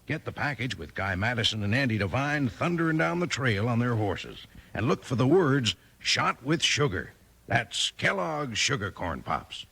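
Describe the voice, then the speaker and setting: An American man delivering a folksy 1950s radio commercial as an announcer, with a husky voice. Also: mid-range voice, sing-song, normal speed, pushed and bright. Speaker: advertising announcer. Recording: old 1950s radio recording.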